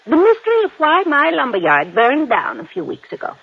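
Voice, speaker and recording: A woman's voice: sits high, wildly swooping pitch, a little fast, heavily acted. An actress playing a gushing, coy American widow in her sixties in a 1950s-style radio drama. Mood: confiding, intrigued. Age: older. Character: coquettish, excitable wealthy widow in her sixties. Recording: dull old radio recording.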